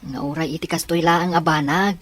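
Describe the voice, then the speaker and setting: A woman's voice: sits low, fairly even intonation, fairly quick. A woman playing a kind, supportive friend in an audio drama, giving advice in a reassuring way. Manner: reassuring, advising. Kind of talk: Ilocano radio-style audio drama.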